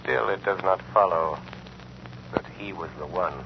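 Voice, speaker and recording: A man playing an invisible, commanding mastermind in an old radio drama, speaking slowly and deliberately. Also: low voice, creaky. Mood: probing. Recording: dull, noisy, humming old radio recording.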